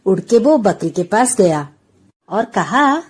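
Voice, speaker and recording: Slow, animated woman storyteller. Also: mid-range voice, slightly rough. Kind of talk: scripted children's story narration.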